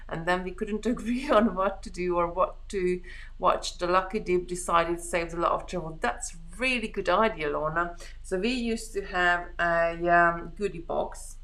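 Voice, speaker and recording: A woman's voice: lowish voice, fairly bright tone. A middle-aged woman from Hungary chatting casually on a live craft stream, rambling and thinking aloud as she works. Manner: rambling, chatty, thinking aloud while working with her hands.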